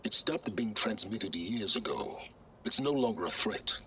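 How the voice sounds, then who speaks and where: An actor playing an arrogant, secretive older male researcher in a video game, speaking in a measured, pompous, sardonic and condescending way. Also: fairly low pitch, sing-song, sharp tone, a little hiss or hum, exaggerated voice. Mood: impatient. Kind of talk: video game cutscene acting.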